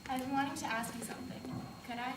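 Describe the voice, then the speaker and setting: A woman playing a fast-talking anxious young woman. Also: sits high, steady tone, lightly acted. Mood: hopeful. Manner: rapid, pleading, emotional. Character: young American woman, anxious, devoted, pleading. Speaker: stage actress. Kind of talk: stage play performance. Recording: roomy, distant mic, noisy.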